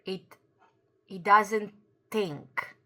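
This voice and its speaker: Slow, bright-voiced woman, a native Croatian speaker. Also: mid-range voice, fairly even intonation. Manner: earnest, searching for words, self-correcting. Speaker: YouTube commentator. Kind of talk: opinion commentary to camera.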